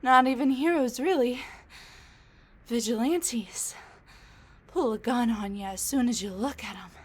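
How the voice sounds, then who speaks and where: A woman playing a sassy, weary female supervillain in a roleplay audio drama, talking in a casual, wry, halting way straight to the listener. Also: on the high side, slightly rough. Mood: rambling, indignant.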